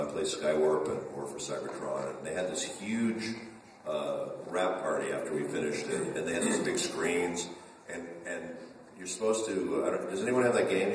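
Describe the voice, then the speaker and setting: A man's voice: low-pitched, slight background noise. A male voice actor answering fan questions on a convention panel, chatty and joking, with frequent restarts. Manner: chatty, jokey storyteller with many restarts. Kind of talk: casual convention Q&A. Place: large convention function room. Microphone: table mic seen from far camera. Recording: echoey hall from far off.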